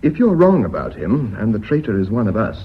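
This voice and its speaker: Earnest, low-voiced company secretary. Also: male voice, big pitch swings, a little fast, breathy edge. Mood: persuasive. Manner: polite, earnest, persuasive. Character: earnest, loyal, precise male company secretary. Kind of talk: radio drama acting. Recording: muffled, hissy old radio recording.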